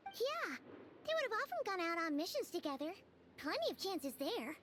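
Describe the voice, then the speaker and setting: A woman voicing a small, childlike female game companion, squeaky, earnest and inquisitive, full of exclamations and questions. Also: big pitch swings, moderate pace, fairly bright tone, a little hiss or hum, exaggerated voice. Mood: eager, puzzled. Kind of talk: video game voice acting.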